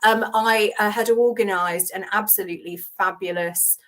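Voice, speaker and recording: Lively woman telling her career story. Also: medium pitch, normal speed, low-quality recording. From Hong Kong. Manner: animated storyteller, acts out past dialogue. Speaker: guest. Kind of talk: conversational interview. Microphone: video call.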